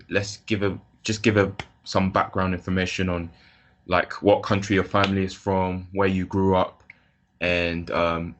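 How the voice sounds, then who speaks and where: A young British man hosting a podcast in a relaxed, casual way, with loose phrasing. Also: low voice. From Britain. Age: young adult. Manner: relaxed, loose, with fillers and restarts. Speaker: podcast host.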